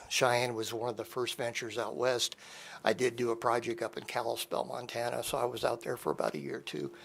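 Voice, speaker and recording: A man's voice: mid-range voice, vocal fry, fairly bright tone. A middle-aged man with a Midwestern American accent, introducing himself informally at a public meeting in a rambling way.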